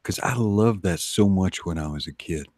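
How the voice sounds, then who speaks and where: A man's voice: low voice, normal speed, darkish tone, no echo, clean studio sound. A man chatting casually as a co-host on a livestream. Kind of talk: casual chat.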